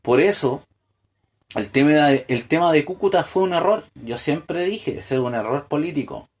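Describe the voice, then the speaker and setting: Man, higher voice, political monologue. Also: lively intonation, normal speed, darkish tone, husky. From Chile. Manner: hesitant, many "eh" fillers and restarts, rising to indignant rhetorical questions. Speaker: podcast host.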